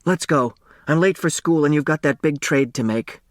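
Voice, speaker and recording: A man voicing a bright, impatient young girl with a blunt, bossy way of talking in an audiobook. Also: on the high side, normal speed, dry recording, studio quality, pitched above the performer's own voice, natural voice.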